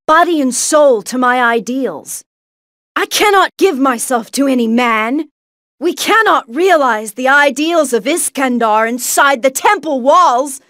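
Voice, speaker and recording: A woman's voice: sits high, fairly bright tone, no echo, clean studio sound. A woman voicing a zealous, righteous female knight in a video game, fervent and formal in her declamation. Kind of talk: video game villain acting.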